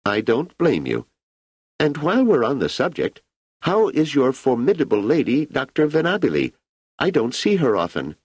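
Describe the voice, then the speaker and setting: A man's voice: medium pitch, wildly swooping pitch, normal speed, darkish tone, breathy edge, no room sound, pitched above the performer's own voice, full character voice. A man voicing an aging emperor who is idle, ironic and imperious, with a languid, wry delivery. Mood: amused.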